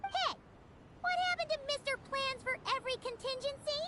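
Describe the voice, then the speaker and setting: A woman voicing a tiny, childlike, cheeky fairy companion in a video game, with a squeaky voice and a blunt, teasing manner. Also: normal speed, background noise. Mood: teasing scolding.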